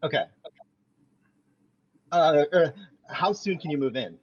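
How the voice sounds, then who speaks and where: A man's voice: a little high, lively intonation, takes it slowly, a little mellow, slightly rough, dull audio, exaggerated voice. A man reading an eager pleading young roommate. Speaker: podcast host reading a part. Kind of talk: amateur table read.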